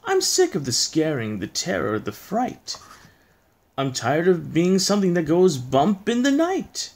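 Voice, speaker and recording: A restless, bored skeleton dreamer from a storybook poem, played by a man reading aloud. The male voice is lifted and swings widely in pitch, with emphatic, theatrical phrasing. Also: huge pitch swings, unhurried, darkish tone, exaggerated voice. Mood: fed up. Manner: dramatic, emphatic.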